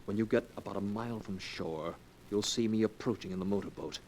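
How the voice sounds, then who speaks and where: A scheming young sweetheart played by a male actor in an old radio melodrama. His voice sits fairly low and he presses his case with earnest, coaxing intensity. The vintage recording carries some faint noise. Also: brisk, a little mellow, lightly acted, poor audio. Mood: coldly persuasive. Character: scheming young fortune-hunting man, smooth persuasive sweetheart. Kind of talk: 1940s radio drama acting.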